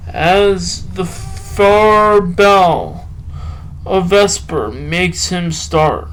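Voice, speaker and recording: Very slow-talking, halting man. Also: high-pitched, fairly bright tone, poor audio. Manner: halting, drawn-out phrases with long pauses. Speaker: podcast host reading poetry. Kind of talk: rambling solo talk and poem reading.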